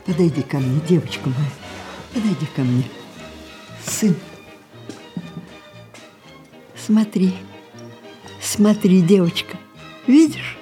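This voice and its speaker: A frail old matriarch at the end of her life in a stage-style radio play. She speaks extremely slowly in halting, drawn-out phrases, mellow yet imperious, turning tender and dreamy, with faint music behind her. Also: medium pitch. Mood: rapturous. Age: older. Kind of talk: Russian stage-style radio drama acting.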